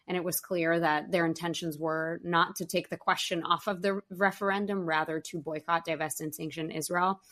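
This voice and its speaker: A young adult woman hosting a podcast interview, asking articulate, measured questions. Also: on the low side, fairly bright tone. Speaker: podcast host.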